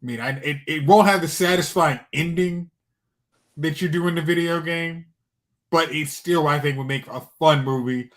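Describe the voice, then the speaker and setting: A man with a slightly high voice speaks casually as a podcast panelist. He is hesitant and rambling, working out his thoughts as he goes. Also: normal speed. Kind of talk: casual chat.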